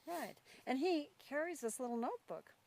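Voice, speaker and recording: A woman's voice: sits high, lively intonation, moderate pace, darkish tone. A woman hosting a casual, chatty interview in an informal, folksy and encouraging manner. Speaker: host introducing a new ranch worker.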